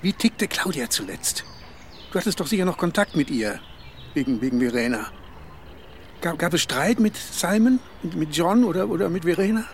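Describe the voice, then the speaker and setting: Radio crime drama: insistent investigator, middle-aged, raised pitch, informal, probing delivery. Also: male voice, lively intonation, a little hiss or hum. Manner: familiar, probing, halting.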